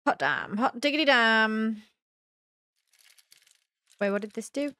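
Slow-paced young woman, playful gaming chatter. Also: a little high, sing-song, bright, forceful tone, dry recording. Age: young adult. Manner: playful, self-deprecating, muttering to herself. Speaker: gaming video host. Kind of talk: casual let's-play commentary, thinking aloud.

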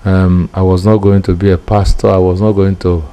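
Male church founder, fifties, Nigerian accent, deep and relaxed tone, personal interview account. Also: normal speed, smooth. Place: indoor room. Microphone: handheld interview microphone.

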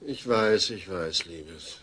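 Slow, husky put-upon husband. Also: male voice, deep voice, a bit bright, heavily acted. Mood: weary, placating. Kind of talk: German comedy audio drama dub.

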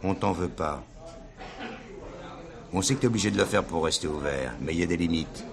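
Gangster, really low voice, curt and threatening, slangy, radio play. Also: male voice, a little fast, vocal fry, crowd noise. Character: menacing, domineering male gangster. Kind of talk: French crime radio drama acting.

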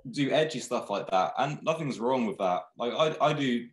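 A young man who founded a clothing brand, talking in a relaxed, rambling way with many restarts on a video call from a small room. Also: mid-range voice, normal speed. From Thailand, raised in England. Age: young adult. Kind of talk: casual video-call interview. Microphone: webcam video call. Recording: echoey room.

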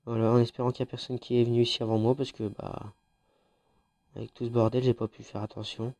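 A man's voice: mid-range voice, level tone, fast, darkish tone. A man with a French accent and a head cold, chatting casually with fillers as he commentates a mobile battle royale. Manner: chatty, informal, with frequent fillers. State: has a head cold, congested. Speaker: gaming YouTuber.

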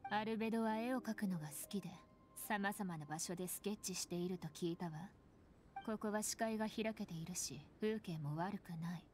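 A woman's voice: medium pitch, brisk, a little mellow, lightly acted. An actress playing a cool, detached female fighter in an anime game, speaking in a matter-of-fact way. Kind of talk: Japanese anime game voice acting.

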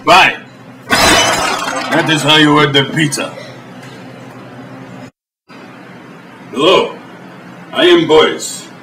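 An actor playing a blunt, deadpan foreign man who speaks in broken English and gives brutal how-to lessons, recorded in an echoey room from a distance with background noise. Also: fairly high pitch. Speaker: comedy sketch character host. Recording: roomy echo, distant mic, ambient noise.

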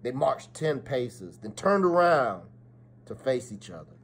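A man's voice: medium pitch, sing-song, a little slow, slightly rough. A middle-aged man reading a children's story aloud expressively, with dramatic emphasis and the odd stumble. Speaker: school principal reading aloud. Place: small office, indoors. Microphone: phone or webcam at arm's length.

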